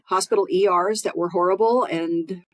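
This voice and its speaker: Lower-voiced woman podcast co-host. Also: fairly even intonation, moderate pace, no room sound. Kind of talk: casual podcast conversation.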